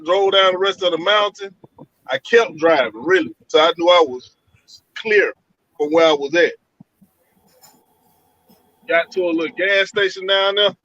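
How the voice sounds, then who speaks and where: Storyteller, slightly high male voice, dry muffled call audio, colloquial and animated. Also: a little slow, long pauses, dry recording. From Georgia. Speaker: guest telling an encounter story. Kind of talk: casual storytelling over a call. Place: sitting in his van.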